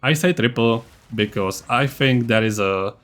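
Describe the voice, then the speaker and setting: A man with a mid-pitched voice narrates a game build guide as a video creator. His delivery is scripted and matter-of-fact. He sounds a little blunt and self-deprecating as he explains things. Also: measured pace, fairly bright tone.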